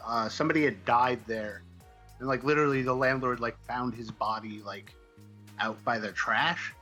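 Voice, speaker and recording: An American man with a bright, forward voice talks as a guest in a casual interview. He tells stories in a halting, wandering way at a middle pitch. There is some music behind him. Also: steady tone. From Midwestern United States. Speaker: comic book writer, interview guest. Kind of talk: casual conversational storytelling.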